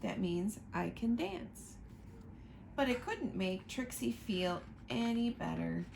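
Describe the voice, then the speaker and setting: A woman reading a picture book aloud to children, slow and expressive, pointing out the pictures as she goes. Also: medium pitch, vocal fry, slight background noise. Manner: slow, expressive storytime reading, asking listeners to look at pictures.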